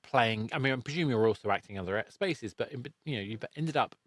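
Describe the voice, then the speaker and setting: A man's voice: mid-range voice, animated, a little fast, a bit bright, no room sound. A middle-aged man hosting a casual interview, chatty and rambling, often rephrasing mid-sentence. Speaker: podcast host.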